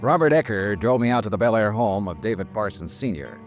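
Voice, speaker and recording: Low-voiced, wry American radio investigator. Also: male voice, expressive, brisk, soft background music. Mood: dry narration. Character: tough, wry, dogged male insurance investigator narrating his case. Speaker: investigator and narrator. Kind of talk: 1950s radio detective drama acting. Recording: restored 1950s radio recording.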